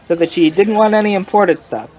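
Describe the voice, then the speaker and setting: Guy acting a chatty folksy barfly in an old radio cop show, voice a bit high, rambles on and on. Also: darkish tone, lo-fi sound, a little hiss or hum, natural voice. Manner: digressive, colloquial, drawn-out storytelling. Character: folksy, rambling male barfly informant telling his story. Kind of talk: old-time radio crime drama acting.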